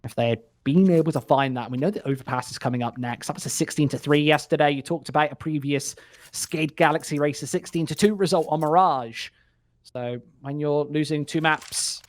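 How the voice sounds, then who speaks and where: A man doing live play-by-play esports commentary, joking and wry in his banter. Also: a little high. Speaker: esports caster.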